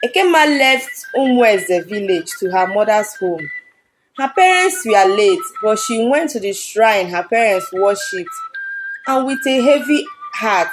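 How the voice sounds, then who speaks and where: A woman's voice: mid-range voice, rough recording. A woman working as a storyteller, narrating a scripted folktale.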